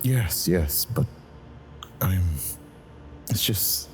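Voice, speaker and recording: An actor playing a proud fallen angel weighed down by guilt, speaking quietly and tersely in a fan audio drama. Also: male voice, low-pitched, animated, very slow, a little hiss or hum, heavily acted. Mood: guarded.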